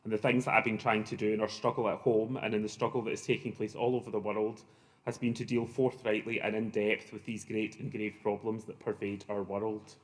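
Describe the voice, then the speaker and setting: A man's voice: lowish voice, little pitch movement, brisk. A middle-aged man with a Glasgow accent giving opening remarks at a conference, hesitant, with many ums and restarts. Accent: Glaswegian. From Scotland.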